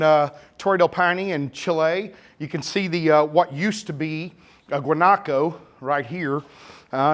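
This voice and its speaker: A man's voice: on the high side, a bit bright. A middle-aged man with a slurry Texas-Oklahoma drawl giving a chatty, teasing live photography talk to a full room. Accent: Texas-Oklahoma blend. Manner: joking, teasing, sarcastic, chatty. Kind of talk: live presentation to an audience. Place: camera store event room with audience.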